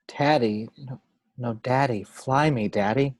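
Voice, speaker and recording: A man's voice: mid-range voice, slow pace, a little mellow, dry recording, studio quality. A man playing an emotional grown father rediscovering boyish wonder, exclaiming in a table-read performance. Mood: moved. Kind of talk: amateur table-read acting.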